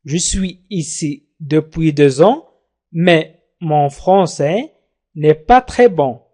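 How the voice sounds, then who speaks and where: A man teaching beginner French in Arabic, repeating each phrase slowly and translating it. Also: a little high, dark tone. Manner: slow, careful repetition of French phrases with Arabic glosses. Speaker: language teacher. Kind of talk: French lesson explained in Arabic.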